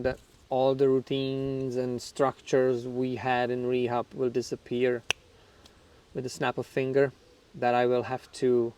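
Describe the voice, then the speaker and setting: Smooth-voiced man with a Czech accent. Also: medium pitch. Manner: reflective and frank, with slight grammar slips and soft laughs. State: somewhat nervous on camera. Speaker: channel host. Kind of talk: candid talk to camera about recovery.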